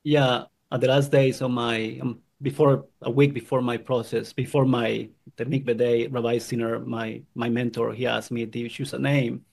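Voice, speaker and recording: A man from Guatemala telling his own story in an earnest, halting way, often restarting his sentences. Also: medium pitch, little pitch movement, vocal fry, darkish tone. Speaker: convert sharing his story. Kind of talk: personal testimony.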